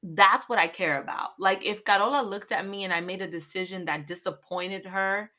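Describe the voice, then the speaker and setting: A woman in her thirties co-hosting a casual podcast chat, candid and emphatic with animated asides. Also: mid-range voice, moderate pace. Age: 30s. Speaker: podcast co-host.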